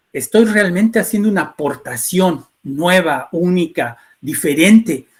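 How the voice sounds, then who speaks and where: A middle-aged man from Ecuador speaks in a fairly high register as he lectures in a webinar. He talks loosely, restarting phrases and checking in with tag questions. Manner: frequent fillers and restarts, rhetorical tag questions. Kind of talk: lecture-style talk.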